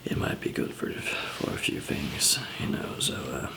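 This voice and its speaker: A man doing a whispered ASMR roleplay as a calm apocalypse survivor, murmuring in a hesitant, halting way. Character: calm zombie apocalypse survivor handing out supplies.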